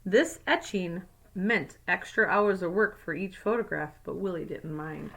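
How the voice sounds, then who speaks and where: A woman's voice: on the low side, animated, a little slow, creaky, fairly bright tone. A woman teacher reading a picture book aloud to young children, adding simple explanatory asides. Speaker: second grade teacher.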